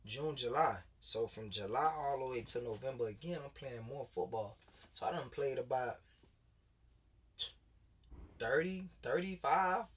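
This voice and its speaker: A man's voice: medium pitch, measured pace, pauses often, a bit bright, slightly rough. A man in his late twenties talks through his football career in a loose, laid-back way, repeating himself and restarting sentences often. His voice sits in a middle register. He sounds a little distant from the microphone. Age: late 20s. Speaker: guest, former pro football player turned high school coach. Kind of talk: casual interview talk.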